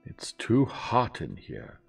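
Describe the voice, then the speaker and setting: A man's voice: sits low, big pitch swings, unhurried, creaky, husky, soft background music, heavily acted. Man acting a snappish, imperious old lady. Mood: snappish, irritated. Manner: snappish, commanding, condescending. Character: imperious, cantankerous old wealthy lady, always fault-finding. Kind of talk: audiobook character acting.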